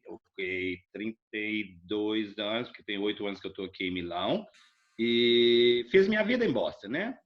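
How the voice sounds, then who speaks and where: A middle-aged man from Brazil with a Brazilian Portuguese accent, casually telling his life story as an interview guest, rambling and relaxed with frequent tag questions. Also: medium pitch, muffled recording.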